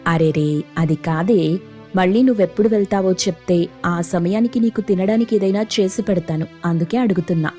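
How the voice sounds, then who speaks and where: A woman's voice: fairly low pitch, fairly quick, dark tone, faint music behind, close to an everyday voice. A woman playing an anxious, jealous wife and elder sister who scolds and bosses in a family story. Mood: coaxing. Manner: scolding, suspicious.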